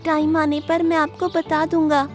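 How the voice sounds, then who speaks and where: Baby bird chick, acted by a woman: small boy, high-pitched, earnest, childlike cartoon delivery. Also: flat delivery, normal speed, soft background music, lightly acted. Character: sweet young magical baby bird, male.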